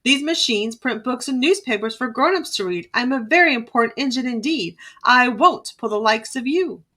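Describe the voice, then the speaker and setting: Big bossy freight train character, read by a woman: indignant, self-important, bright, high register. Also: lively intonation, normal speed. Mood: pompous. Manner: bellowing, pompous, indignant.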